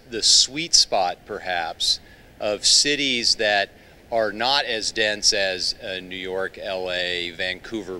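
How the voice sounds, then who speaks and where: A thoughtful man in the audience frames his question slowly and carefully. His voice sits fairly low but has a very bright, crisp edge. He stops now and then on small fillers. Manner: measured, thoughtful, with many fillers. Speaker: audience member. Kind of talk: question from the floor.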